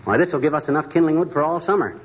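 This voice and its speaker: An actor playing a boastful, blustering husband in a 1940s radio comedy, brash and quick with jokes. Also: male voice, a little high, muffled recording, natural voice. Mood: joking. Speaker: lead character. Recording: hissy 1940s radio broadcast recording.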